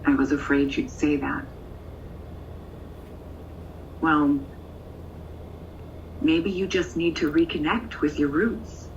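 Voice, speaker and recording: A tender middle-aged mother in an audio drama phone call. She speaks slowly and hesitantly in a slightly low voice, through a muffled, distant line with faint noise. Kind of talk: naturalistic audio drama acting.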